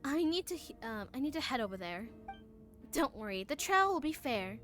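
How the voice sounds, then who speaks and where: A woman voicing a formal, earnest female knight commander from a fantasy game, reading the lines aloud a little haltingly. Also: sits high, very animated, a bit bright, soft background music. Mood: solemn. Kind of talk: live read-along of game dialogue.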